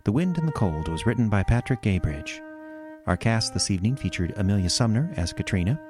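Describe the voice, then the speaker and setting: A man's voice: fairly low pitch, animated, brisk. A man announcing credits in a scripted narration style. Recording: music bed under voice.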